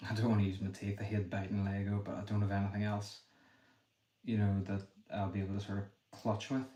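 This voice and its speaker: A young man with a Northern Irish accent, thinking aloud quickly and apologetically as he chats with a livestream audience. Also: fairly low pitch, level tone, dark tone. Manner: talks quickly. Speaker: livestreamer building a brick set. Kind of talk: casual livestream chat while building. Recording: echoey room with faint noise.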